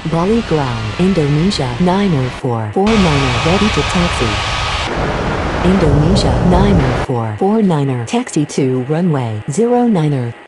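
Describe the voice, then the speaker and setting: A woman's voice: low-pitched, sing-song, measured pace, heavy background noise. A woman voicing a businesslike airline pilot and controller in a game, giving clipped, matter-of-fact radio calls.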